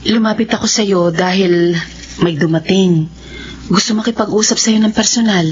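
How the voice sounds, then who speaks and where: A woman's voice: mid-range voice, normal speed, close to an everyday voice. A woman acting a timid, apologetic Filipino wife in a radio horror drama. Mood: hesitant. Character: timid, apologetic wife obeying her domineering husband. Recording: dull radio sound, faint noise.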